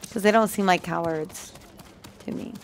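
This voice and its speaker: A woman's voice: fairly low pitch, normal speed, slight background noise. A young adult woman in casual conversation, co-hosting a game reaction show on a headset. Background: game soundtrack music.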